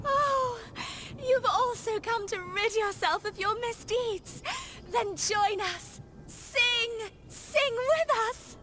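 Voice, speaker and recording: An actress voicing an entranced, blissful female worshipper in a video game, inviting others in with drawn-out, exalted phrases. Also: very high-pitched, sharp tone, exaggerated voice.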